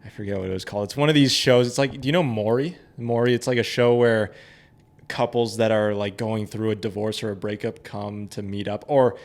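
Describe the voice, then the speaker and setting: A man's voice: on the low side, steady tone, brisk. Rambling man hosting a casual interview. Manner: rambling, loose storytelling with self-corrections. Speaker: podcast host.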